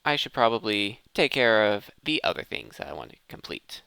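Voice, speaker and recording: A man's voice: on the low side, very animated, normal speed, gravelly, sharp tone, smooth, no room sound. A man giving casual gameplay commentary, thinking aloud and often interrupting himself mid-thought. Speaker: gaming video host.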